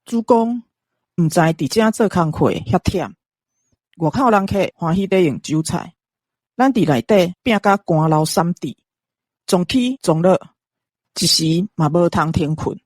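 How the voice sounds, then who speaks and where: A woman's voice: on the low side, animated, unhurried, a little mellow, no room sound. A woman voicing a humble, hard-working young country companion in a Taiwanese-accented radio drama. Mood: weary.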